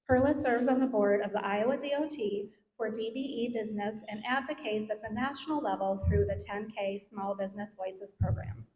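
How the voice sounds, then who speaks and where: A woman's voice: mid-range voice, a little mellow. A middle-aged woman giving a scripted speech and introduction at an event in a hall. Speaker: event sponsor and speaker introducer. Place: large event hall, on stage. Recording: echoey hall, faint noise.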